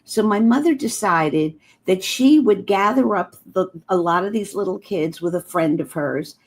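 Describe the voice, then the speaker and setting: A woman's voice: mid-range voice, expressive, moderate pace. An older woman whose first language is German, telling a personal story as a guest with earnest, heartfelt feeling.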